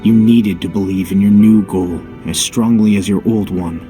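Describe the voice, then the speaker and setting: A man plays a steady mentor figure from a game, frank and matter-of-fact. His voice is on the low side and measured, over prominent music. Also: lightly acted. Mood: reassuring. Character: steady, blunt male comrade and mentor. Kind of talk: scripted game cutscene acting.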